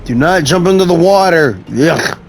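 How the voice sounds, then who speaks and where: Male gaming host: high register, very varied intonation, casual playful reactions, light music behind. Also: expressive, normal speed, gravelly. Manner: playful, reacts aloud to the story. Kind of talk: casual gameplay commentary. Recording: faint game music behind.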